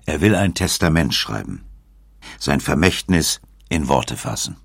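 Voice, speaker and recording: A man reading scripted documentary narration in a measured, storytelling way. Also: a bass voice, animated, creaky, husky, no echo, studio quality.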